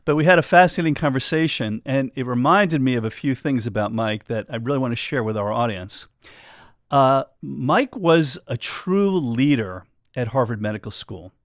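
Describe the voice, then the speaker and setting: A man's voice: mid-range voice, animated, moderate pace, no echo, clean studio sound. A man in his sixties hosting a medical interview, speaking with enthusiasm and small restarts. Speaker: physician interview host.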